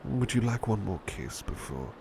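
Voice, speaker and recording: Man playing a low, creaky, devoted butler. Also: big pitch swings, noisy background. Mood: sleepy, caring. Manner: hushed, sleepy, halting, often slipping into whispers. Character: devoted, tender male butler comforting a beloved at bedtime. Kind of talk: intimate ASMR roleplay.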